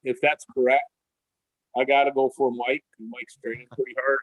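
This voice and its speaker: A man's voice: medium pitch, little pitch movement, normal speed, a little hiss or hum, rough recording. A man guest giving a plainspoken, considered opinion in a casual live video chat.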